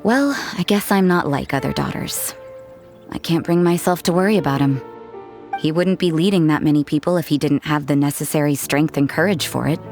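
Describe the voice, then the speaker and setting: Low-voiced, aloof young woman. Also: over music. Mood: detached. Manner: measured, dry, aloof. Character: cool, detached, self-possessed young woman, dryly unsentimental. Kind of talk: English video game character dialogue.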